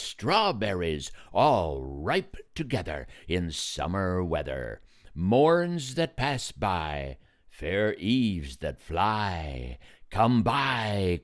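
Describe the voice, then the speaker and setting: Sly goblin merchants hawk their fruit in a very low, slow, sing-song chant that swoops widely in pitch, as a man plays them. It is a dry recording, with no room sound at all. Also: dry recording, heavily acted. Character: chorus of sly male goblin fruit-sellers.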